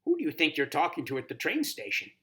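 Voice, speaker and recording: A man's voice: fairly high pitch, huge pitch swings, fast, a bit bright, voice pushed up. A man voicing a wise horned toad mentor in a children's storybook, grave and instructive with somber warnings. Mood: calm, reassuring. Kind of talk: audiobook character reading.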